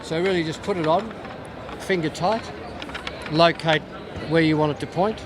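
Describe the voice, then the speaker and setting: A man's voice: a little high, normal speed, crowd noise, background noise. A man giving an informal, playful product demonstration as a company representative at a trade show. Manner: tongue-in-cheek, playful.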